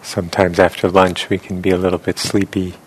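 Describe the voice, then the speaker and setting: A man leading a guided meditation as a teacher, speaking gently and with long pauses. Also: low voice, little pitch movement, slightly rough, low-quality recording.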